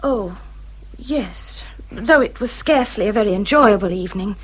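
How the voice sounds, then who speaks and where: Husky, querulous English hypochondriac wife. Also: female voice, medium pitch, expressive, moderate pace, rough recording. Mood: self-pitying. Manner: plaintive, complaining, sighing, emphatic. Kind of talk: period radio drama acting.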